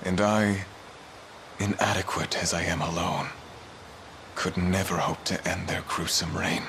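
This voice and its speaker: A deep, brooding fallen knight. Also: male voice, steady tone, measured pace, gravelly, background noise. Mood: remorseful, weary. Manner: grave, formal archaic speech. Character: brooding, guilt-ridden fallen knight, solemn and weary. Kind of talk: dramatic fantasy video game acting.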